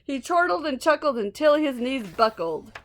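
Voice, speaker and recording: A woman's voice: sits high, sing-song, moderate pace, slight background noise. A woman reading a children's storybook aloud expressively, with small asides to listeners. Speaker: storybook reader.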